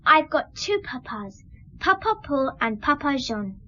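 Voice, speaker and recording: Innocent, very high-voiced little girl. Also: sing-song, unhurried, sharp tone, dull audio, heavily acted. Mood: candid, innocent. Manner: childlike, candid, simple phrasing. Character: innocent, chatty little girl, bedridden and trusting. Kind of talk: radio drama acting.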